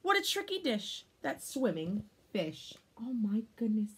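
A woman in her thirties reading a picture book aloud to children, expressive, with exclamations and chatty explanatory asides. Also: on the high side, a little slow, a little hiss or hum.

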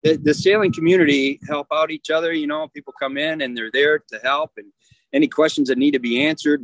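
A middle-aged man, a yacht club commodore talking casually on a video call, often pausing and restarting as he searches for words. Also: medium pitch, a bit bright, a little hiss or hum, rough recording. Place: outdoors at a marina.

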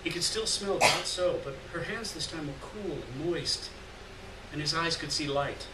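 Middle-aged male story reader, higher-pitched, dramatic and deliberate, roomy noisy live recording. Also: recorded from a distance. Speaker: reader of short fiction. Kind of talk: live literary story reading, scripted narration. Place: indoors at a lectern in a gallery room.

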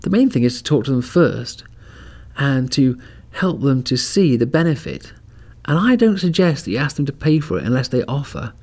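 A man's voice: mid-range voice, big pitch swings, normal speed, darkish tone. A man hosting a podcast, chatting casually as he walks through a home security camera demonstration and gives advice. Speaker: podcast host. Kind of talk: casual product demo and advice talk. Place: outdoors at home driveway, then indoors.

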